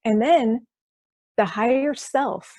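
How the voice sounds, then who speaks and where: A woman's voice: a little high, slow pace, a little mellow, dry recording, clean studio sound. Enthusiastic woman explaining hypnosis sessions. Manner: enthusiastic, restarts phrases often. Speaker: quantum healing hypnosis practitioner, guest. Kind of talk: explaining her practice in an interview.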